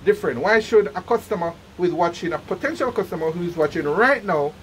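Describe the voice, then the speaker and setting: An enthusiastic Jamaican man hosts a casual interview. His pitch moves in a lively way and he doubles up his phrases. There is some light noise around him, as in a small shop by a street. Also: fast talker. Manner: enthusiastic, repeats words and phrases. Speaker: vlog host and interviewer. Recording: noisy handheld on-location recording, traffic hum.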